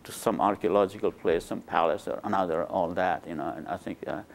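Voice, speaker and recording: An older man from Nepal, a native Nepali speaker, recounting his life in a relaxed, hesitant way with many fillers, on a lapel mic in a lecture room. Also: deep voice, brisk, gravelly. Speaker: interviewed scientist. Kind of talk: relaxed conversational storytelling. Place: lecture room with a small audience.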